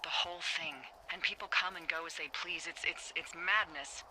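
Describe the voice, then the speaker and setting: A woman's voice: lowish voice, steady tone, normal speed, noisy background, lightly acted. A woman playing a wry, teasing fire lookout supervisor in naturalistic video game dialogue, playful and sarcastic. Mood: mock serious. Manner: playful, sarcastic, hesitant when earnest.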